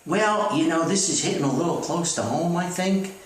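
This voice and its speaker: A man's voice: a little high, moderate pace. Echoey, folksy man rambling comic advice. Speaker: comedic advice host. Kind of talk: rambling comedic advice talk, reading out letters. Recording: echoey, distant mic with faint noise.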